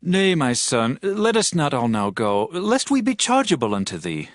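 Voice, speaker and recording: A man's voice: on the high side, huge pitch swings, normal speed, no room sound, studio quality. A man playing a solemn, fatherly biblical king, stately and speaking archaic English. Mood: kingly concern. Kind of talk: dramatized scripture reading with archaic English.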